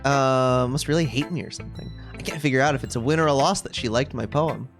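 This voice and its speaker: A woman's voice: rumbling low, fast. A woman streaming live, chatting casually and wryly as she reads a visual novel aloud. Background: game music in the background.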